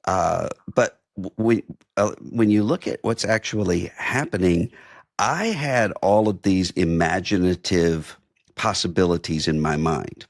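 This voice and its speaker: A middle-aged man hosting a reflective interview, speaking in a halting, thoughtful way as he builds long, considered questions. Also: deep voice, creaky, dry recording, studio quality. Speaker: interviewer, podcast host.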